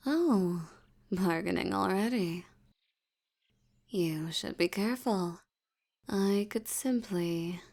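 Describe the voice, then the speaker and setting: Female vampire elder, acted by a woman, audio roleplay: seductive, menacing, languid delivery, creaky mid-pitched voice sinking into breathiness. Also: a little mellow. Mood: seductive, threatening. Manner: slow, teasing, menacing, with lingering pauses. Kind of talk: intimate scripted audio roleplay.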